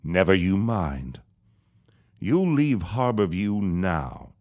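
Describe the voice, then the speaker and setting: A scheming villain reverend for an audio drama, his very low voice swooping with gloating glee. He speaks slowly, savoring every threat. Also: big pitch swings, darkish tone, full character voice. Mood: menacing. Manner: sinister, gloating, cackling.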